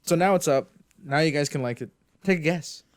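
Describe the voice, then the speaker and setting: A man's voice: a little high, a little fast. Young Canadian man co-hosting a podcast; playful, teasing, easygoing banter. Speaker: podcast co-host. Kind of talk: casual chat.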